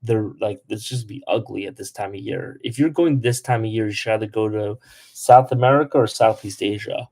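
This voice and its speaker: Chatty young man, mellow voice. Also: lowish voice, a little fast. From Polish. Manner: chatty, lots of "like", blunt toward trolls. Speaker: travel livestream host. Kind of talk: casual livestream Q&A, reading comments.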